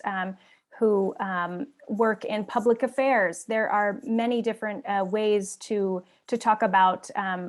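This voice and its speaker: A woman who manages an exchange program, answering a question on a webinar panel with hesitant pauses and many ums. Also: mid-range voice. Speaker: civil service program manager on a career panel.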